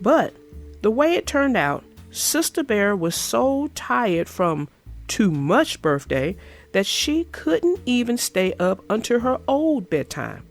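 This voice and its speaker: Slow, expressive woman narrator. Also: a little high, soft background music. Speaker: storybook narrator. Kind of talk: reading a children's book aloud, then casual discussion.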